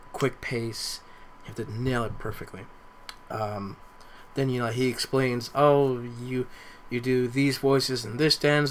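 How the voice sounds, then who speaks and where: A young man talks through his notes in a casual podcast recap. His voice sits at an average pitch, and there is a little noise behind him. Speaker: podcast co-speaker.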